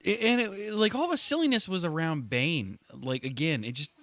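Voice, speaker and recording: Man, head cold, congested, joking, laughing, lively, dry. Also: fairly high pitch, moderate pace, no echo. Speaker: podcast co-host. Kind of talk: casual chat.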